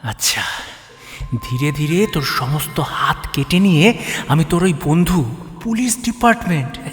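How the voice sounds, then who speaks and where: A man's voice: on the high side, expressive, a little slow, big-room sound, faint music behind. A man playing a vengeful, sadistic doctor in an audio drama, taunting and threatening. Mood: menacing, taunting. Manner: menacing, taunting. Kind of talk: dramatised audio story acting.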